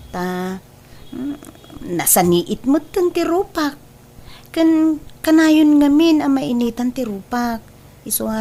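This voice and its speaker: A woman playing a coy, easily flustered young bride-to-be, hesitant and drawn-out, in an Ilocano comedy drama. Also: a little high, warm tone, slight background noise. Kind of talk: Ilocano radio-style comedy drama acting.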